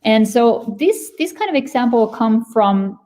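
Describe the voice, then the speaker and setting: A woman's voice: on the high side, expressive, a little slow, dark tone. A woman with a Finnish accent giving a webinar presentation, explaining at length and searching for words mid-sentence. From Finland. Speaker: company presenter.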